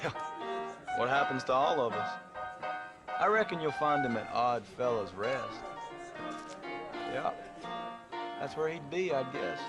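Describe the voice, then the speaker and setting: A man as folksy western townsman over loud music. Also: medium pitch, animated, unhurried, long pauses, lightly acted. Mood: wistful, resigned. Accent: rural American. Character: folksy, resigned small-town western local. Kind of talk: dramatic video game acting.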